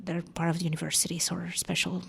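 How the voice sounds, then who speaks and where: Gravelly-edged woman with a Costa Rican accent. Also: lowish voice, little pitch movement, quick pace. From Costa Rica. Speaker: librarian, interview guest. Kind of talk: conversational radio interview.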